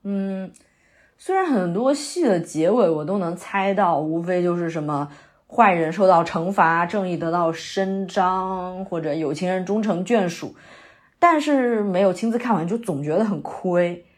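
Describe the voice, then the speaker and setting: A woman's voice: lowish voice, lively intonation, a little slow. A woman acting a young, sentimental, theatre-loving new graduate in a naturalistic audio drama, speaking in a hesitant, conversational way. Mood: wistful. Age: young adult. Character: young woman fresh out of university, wandering, sentimental theatre lover.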